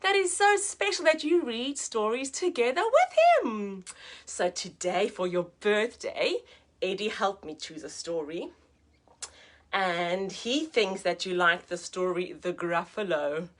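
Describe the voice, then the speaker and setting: A woman hosting a children's story time like a teacher, expressive and sing-song, speaking directly to her young listeners. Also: medium pitch, slow pace. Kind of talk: animated read-aloud for young children.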